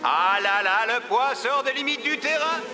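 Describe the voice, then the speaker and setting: A man playing an excitable, dramatic commentator in a children's cartoon, full of exclamations. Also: very high voice, moderate pace. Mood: excited. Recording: music under the voice.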